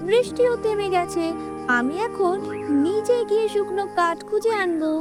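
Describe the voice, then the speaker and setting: A woman's voice: very high voice, normal speed. A woman voicing a sensible, firm mother bird in a children's cartoon, speaking in a matter-of-fact way. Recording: music under the voice.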